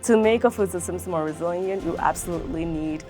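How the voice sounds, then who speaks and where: A woman with a very low voice who talks fast as a conference expert. She often restarts her phrases mid-thought, and faint music sits behind her. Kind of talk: interview remarks.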